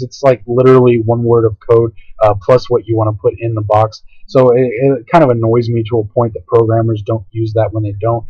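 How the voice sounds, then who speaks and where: A hoarse man casually talking through a software tutorial, rambling and hesitant with lots of fillers. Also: mid-range voice, no echo, lo-fi sound. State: hoarse, voice going out. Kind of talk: casual screen-recorded tutorial.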